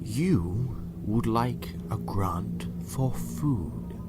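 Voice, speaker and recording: A man's voice: on the low side, lively intonation, drawn-out words. A man acting a sinister, urbane doctor in an audio drama, polite, theatrical and condescending, with cool menace. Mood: smug, condescending. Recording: loud music behind the voice. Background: loud music.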